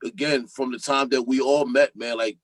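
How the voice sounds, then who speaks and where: A man, a guest rapper on a podcast, talking loosely and excitedly about music in casual chat. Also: mid-range voice, moderate pace, dry recording. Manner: loose, animated, talks over others.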